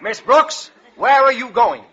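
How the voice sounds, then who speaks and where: An actor playing a pompous, suspicious, middle-aged school principal with an accusatory manner, in a 1940s radio comedy. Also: male voice, extremely high pitch, expressive, unhurried, pushed and bright, slight background noise, full character voice. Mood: triumphant, indignant. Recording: dull vintage 1940s radio recording.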